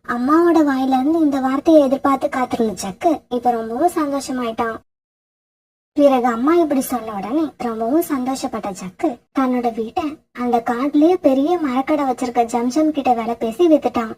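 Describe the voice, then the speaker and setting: A woman with a husky voice narrating a scripted children's story. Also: sits high, normal speed, darkish tone.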